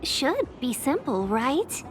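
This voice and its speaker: A courteous female pilot guide in a video game. Her voice is high and measured, with a polite, gently coaxing way of talking, over faint music. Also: sing-song, full character voice. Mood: coaxing, encouraging. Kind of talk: scripted game dialogue.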